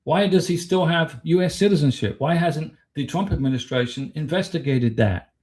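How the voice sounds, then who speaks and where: A man's voice: a little high, normal speed, darkish tone. An American man from Florida hosting a casual livestream, talking in a blunt, emphatic, opinionated way with rhetorical questions and replies to chat. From Florida, United States. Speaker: livestream host.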